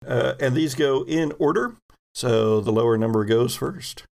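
A man works as game master for a tabletop role-playing game. His delivery is slow and halting, with a creaky edge to the voice and a descriptive narrating style. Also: mid-range voice, a little mellow.

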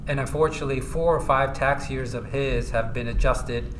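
A man's voice: medium pitch, normal speed. Earnest man in an echoey room. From Iran. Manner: earnest, steady, with frequent fillers. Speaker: legal aid tax attorney. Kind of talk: conversational interview answers. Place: conference venue. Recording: echoey room with clear background noise.

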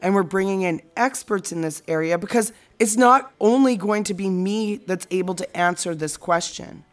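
A woman's voice: mid-range voice, moderate pace, natural voice. A woman playing a reflective, frustrated teacher in a naturalistic audio drama conversation. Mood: reflective, earnest. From Canada.

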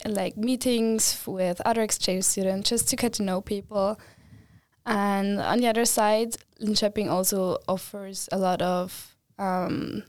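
A young woman from Austria talks as a guest on a student podcast in a relaxed, chatty way. Her voice sits in the middle range and the sound is close and dry. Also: mid-range voice, no room sound. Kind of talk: casual podcast chat.

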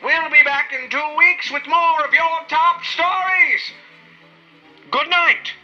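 A high, very bright old-time radio news anchor played by a comic performer, excitable and theatrical. The words are drawn out slowly, in the manner of a vintage newsroom broadcast. The sound is dull and lo-fi, with music behind it. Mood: upbeat. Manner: theatrical, drawn-out announcer delivery. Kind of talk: 1940s radio newsroom parody, scripted announcing.

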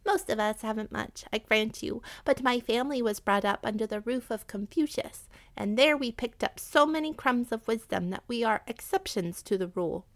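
A woman's voice: on the high side, normal speed, hardly any pauses, voice pushed up, lightly acted. A woman voicing an anxious, honourable male rat in a children's story, pleading in wordy, very polite phrases. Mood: pleading, courteous. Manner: pleading, wordy, formally courteous. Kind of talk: children's animal storybook character.

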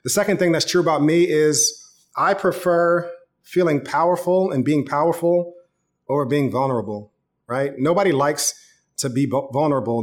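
A man in his thirties preaches an online sermon like he is chatting with friends, moving easily into personal stories. His voice sits at a middle pitch, neither deep nor high. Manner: casual, conversational preaching with storytelling. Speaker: pastor.

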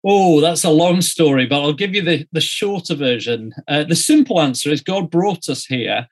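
A middle-aged man from Yorkshire, speaking as an interview guest in a conversational storytelling way with measured, hesitant phrasing. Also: fairly high pitch, lively intonation. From Yorkshire, northern England. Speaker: interview guest, student ministry director.

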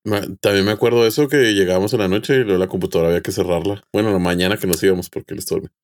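A man's voice: sits low, steady tone, racing. A man with a Mexican accent chatting casually as a podcast co-host and chiming in informally. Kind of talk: casual chat, interjecting.

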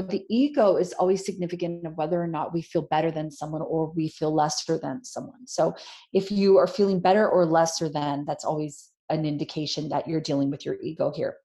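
A woman with a slightly low voice, speaking in an earnest, emphatic, conversational way. She leans on repetition and frequent fillers, building her point steadily as she chats with a co-host. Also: warm tone. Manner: earnest, emphatic, many "you know" fillers. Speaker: podcast co-host. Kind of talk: casual conversational chat.

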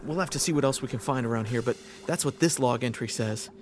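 A man playing an embattled, wry and excitable space fleet lieutenant in an audio drama, talking in a hesitant, urgent mutter. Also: medium pitch, quick pace, a little hiss or hum, close to an everyday voice. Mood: intrigued. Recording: loud engine-like ship ambience behind.

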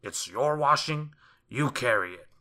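A man voicing a rude, miserly storybook character who is blunt, exclamatory and quick to panic or plead. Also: low-pitched, creaky, bright, forceful tone, heavily acted. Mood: rude, curt.

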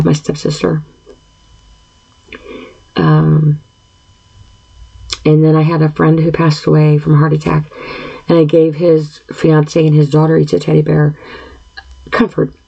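A woman in her fifties speaking an earnest, halting personal monologue, often clearing her throat and pausing on fillers. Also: deep voice, mellow, rough recording. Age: 50s.

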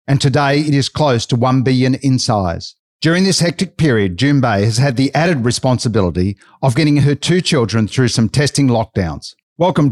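Man, interview host, measured and polished, mid pitch, faint background music. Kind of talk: scripted intro and interview questions.

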